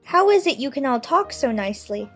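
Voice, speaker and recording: A woman acts out a curious, well-mannered young girl from a children's storybook. Her voice sits high and she muses expressively to herself. Faint music plays behind her. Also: very animated, fairly quick. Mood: curious, polite. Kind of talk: dramatized children's audiobook acting.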